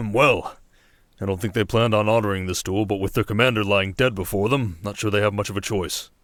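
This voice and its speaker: A man playing a composed desert king in an ASMR audio drama, authoritative and measured with dry wit. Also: on the low side, no room sound, close to an everyday voice. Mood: commanding. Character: composed, dry-witted desert king leading his army.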